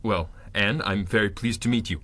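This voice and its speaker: A man's voice: on the low side, a bit bright. Hesitant, bewildered amnesiac man. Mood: mild, polite. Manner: hesitant, halting, mild and formal. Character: bewildered amnesiac male stranger, polite, earnest, haunted. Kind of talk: 1930s pulp radio drama acting.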